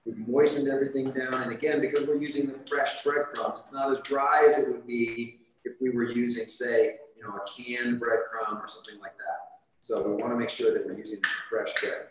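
Chef talking through a recipe in an echoey kitchen. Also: male voice, mid-range voice, fairly quick. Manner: relaxed, explanatory, chatty. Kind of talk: live cooking demonstration. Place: large studio kitchen set. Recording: echoey kitchen with light background noise.

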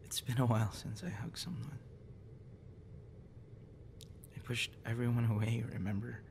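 A man playing a withdrawn, tender young man in an intimate boyfriend roleplay, speaking softly and haltingly. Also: mid-range voice, steady tone, gravelly, a little hiss or hum, lightly acted. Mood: tender, subdued. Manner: hesitant, halting, soft-spoken. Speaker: audio roleplay performer.

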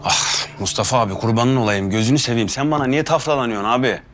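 Actor as a rushed, whining young man; a family underdog in a TV drama, with music bed. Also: mid-range voice, a bit bright, lightly acted. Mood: pleading. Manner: fast, pleading, self-pitying. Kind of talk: TV drama acting.

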